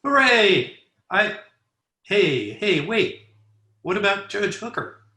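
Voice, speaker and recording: A man playing an eager, cheeky young nephew in an excitable 1940s radio comedy style. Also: sits high, takes it slowly, heavily acted. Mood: excited. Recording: roomy home remote recording.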